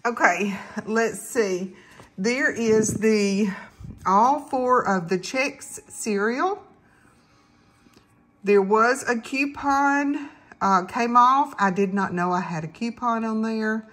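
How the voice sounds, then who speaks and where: A woman chatting casually to viewers as a couponing vlogger, in a halting, rambling way. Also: a little high, a bit bright. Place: indoors at home.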